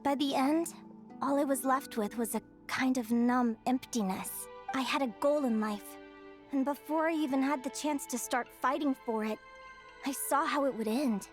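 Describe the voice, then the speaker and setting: A woman playing an earnest, stubborn young fae in a video game, expressive and insistent. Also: high-pitched, moderate pace, soft background music, lightly acted. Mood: reflective, downcast.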